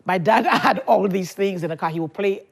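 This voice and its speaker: A woman's voice: mid-range voice, moderate pace, vocal fry. A woman pastor in her fifties from Nigeria, chatting as an interview guest, laughing often and restarting her sentences. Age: 50s. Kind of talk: casual interview talk.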